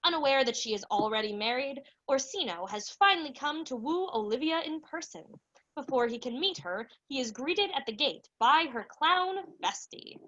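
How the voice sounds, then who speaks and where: A young woman playing a chirpy 1940s-style radio announcer, pitching ads and recapping the story, on slightly dull audio. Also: lively intonation, normal speed, bright, forceful tone. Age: young adult. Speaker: narrator and announcer.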